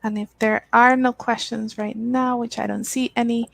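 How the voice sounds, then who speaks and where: A woman hosts a live-stream panel over a video call, her voice slightly high with a gravelly edge. She talks in a relaxed way and leans on fillers. The sound is dry and close. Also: moderate pace, no echo. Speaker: executive director hosting the panel.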